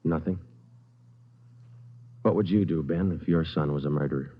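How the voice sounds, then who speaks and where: An actor playing a hardboiled police sergeant in a clipped, matter-of-fact 1950s radio drama style. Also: male voice, a bass voice, moderate pace, heavy background noise. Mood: weary, reflective. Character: terse hardboiled male police sergeant detective. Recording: muffled vintage radio recording, loud music.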